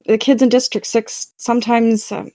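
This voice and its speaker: A woman's voice: on the high side, flat delivery, moderate pace, mellow, dry recording, close to an everyday voice. A woman playing a curious, slightly hesitant teenage girl in audio drama dialogue. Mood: wondering, hesitant.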